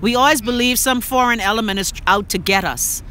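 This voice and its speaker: A Bahamian woman hosts a live interview out on a noisy street, with traffic sounds behind her. Her voice is bright and forceful. She talks in slang and teases her guest as "girl.". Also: on the high side, fairly quick. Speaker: talk show host. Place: outdoors on a loud street.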